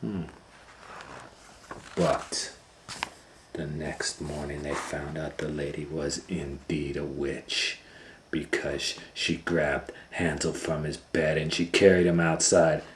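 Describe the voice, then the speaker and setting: A man reading a children's storybook aloud as host, with expressive, drawn-out storytelling. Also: rumbling low, slight background noise. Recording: roomy, echoing indoor sound.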